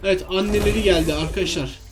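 Man, high voice, casual game commentary, exclamatory, chatting to viewers and himself, slight background noise. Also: steady tone, quick pace. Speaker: gaming video host.

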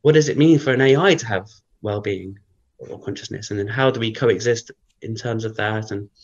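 A man, a guest researcher, thinking aloud in a casual interview, rambling with many fillers, his voice croaky from early morning. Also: fairly low pitch, normal speed, lo-fi sound.

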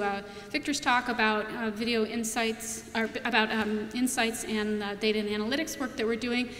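A woman's voice: a little high, a bit bright, slight background noise. A young adult woman giving a conference keynote from a podium, talking in a casual way with many fillers and restarts. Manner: conversational, frequent fillers and restarts. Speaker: keynote speaker presenting product features. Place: large auditorium stage at a podium. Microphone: podium mic from a far camera. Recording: echoey auditorium with long reverb.

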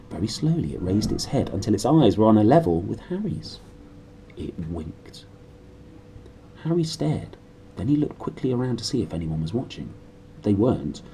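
Low, mellow British man reading expressively. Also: slight background noise, low-quality recording. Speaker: book reader. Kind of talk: animated storybook reading. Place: small room indoors.

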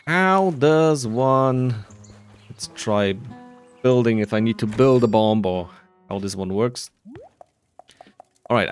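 Male gamer, unhurried casual commentary, half talking to himself, soft music underneath. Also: mid-range voice, smooth. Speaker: gamer narrating a playthrough.

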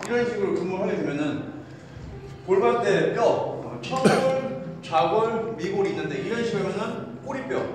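A man in his thirties, a fitness coach, giving an animated exercise lesson while demonstrating moves in a large indoor gym, filmed from a distance. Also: high voice. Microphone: far camera. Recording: echoey gym from far off.

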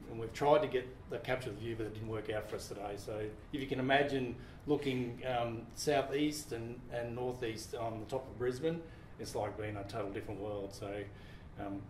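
A middle-aged man from Australia hosting an interview, relaxed and informal, with many fillers and the odd "mate". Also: medium pitch, normal speed. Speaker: interview host. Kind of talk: casual interview. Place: office meeting room with large windows. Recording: roomy office with faint noise.

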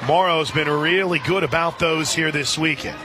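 A man working as a radio play-by-play announcer, calling a live softball game. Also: a little high, steady tone, normal speed. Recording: outdoor stadium crowd noise behind. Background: ballpark crowd and outdoor ambience.

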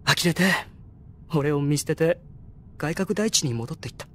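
Dejected anime teen boy, quiet and hesitant, humbled. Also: on the high side, lively intonation, moderate pace, a little hiss or hum. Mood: downcast. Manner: subdued, hesitant. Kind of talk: Japanese anime audio drama acting.

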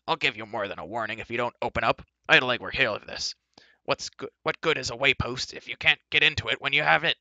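Man voicing a loud, gruff, bossy dwarf. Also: mid-range voice, quick pace, no echo, muffled recording. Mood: furious, loud. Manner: forceful shouting and snapping. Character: gruff, bossy, short-tempered male dwarf. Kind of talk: audiobook character voice.

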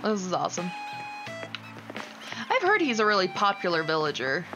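Animated woman gushing over a video game. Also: fairly low pitch, normal speed, over music. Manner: gushing, enthusiastic, exclamatory. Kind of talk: casual gaming chat and reactions.

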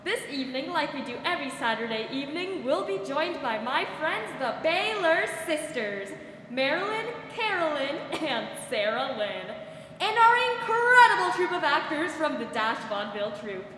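A woman's voice: high-pitched, big pitch swings, normal speed, sharp tone, heavily acted. A young woman playing a gracious, upbeat 1940s radio show host, reading scripted announcements in a folksy, polished way. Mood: welcoming, upbeat. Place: school theatre stage, indoors. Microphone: stand microphone. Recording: very echoey hall, faint noise.

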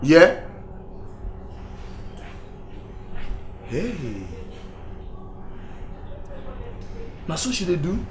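A man's voice: high voice, lively intonation, brisk. A man with a Nigerian accent playing an evasive young boyfriend in a Pidgin comedy-drama, stammering and whining his innocence. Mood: pleading. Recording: echoey room, music behind.

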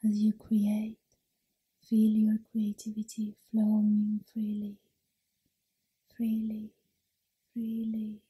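Woman doing asmr meditation, super breathy n mellow, mostly whispery, real soothing. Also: mid-range voice, completely flat delivery, slow, pauses often, rough recording. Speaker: guided meditation host.

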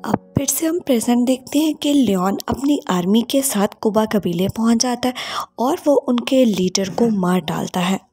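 A woman narrating a movie plot recap in a steady, scripted voice-over. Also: a little high, normal speed, slightly rough, dry recording. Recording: voice-over with loud background music.

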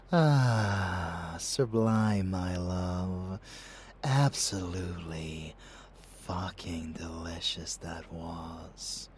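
A man playing a dark, obsessive vampire lover in an ASMR audio roleplay, with a theatrical, seductive delivery and murmurs. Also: low-pitched, slightly rough, exaggerated voice. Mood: adoring, possessive.

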